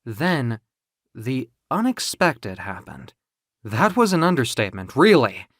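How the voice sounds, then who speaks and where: A man narrating first-person audio fiction in a theatrical, expressive, confiding way. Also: mid-range voice, a little slow, no room sound, clean studio sound. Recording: music under the voice. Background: music.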